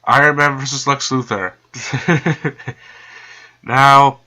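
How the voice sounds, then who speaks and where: Chatty commentator with a cold: a stuffed-up man with a very bright, sharp tone, slow pace. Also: medium pitch, fairly even intonation. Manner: chatty, laughs often. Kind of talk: casual reaction commentary.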